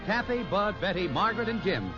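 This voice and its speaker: Male 1950s radio announcer, folksy and theatrical, very animated delivery, dull old recording with music behind. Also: high-pitched, a little fast. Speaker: announcer and narrator. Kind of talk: 1950s radio sitcom announcing, scripted narration.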